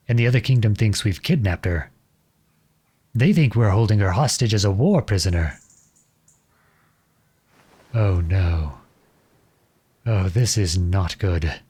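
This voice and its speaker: A man playing an earnest, lovestruck outlawed prince turned soldier in an audio roleplay drama, speaking softly and with measured pauses. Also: fairly low pitch, breathy edge, dry recording, full character voice. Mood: puzzled, alarmed.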